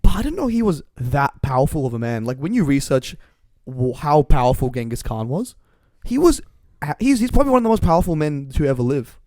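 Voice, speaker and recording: Male podcast co-host, slightly raspy today, loose rambling chatter, dry studio sound. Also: on the high side, very animated, brisk, few pauses, mellow, no room sound. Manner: rambling, laughs often. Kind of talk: casual podcast chat.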